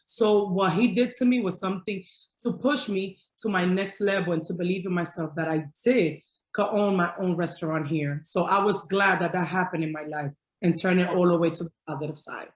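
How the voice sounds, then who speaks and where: A woman's voice: fairly low pitch, fairly quick. A middle-aged woman chef earnestly telling her life story in an upbeat way over a video call. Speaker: chef guest. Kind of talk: personal story in an interview. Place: home room. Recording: roomy video call audio.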